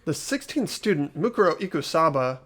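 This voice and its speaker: A man voicing an earnest, anxious high school student in a fan-dubbed game, hesitant and questioning. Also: big pitch swings, fairly quick, fairly bright tone, slight background noise. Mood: alarmed, puzzled. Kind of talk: fan voice acting of a visual novel game.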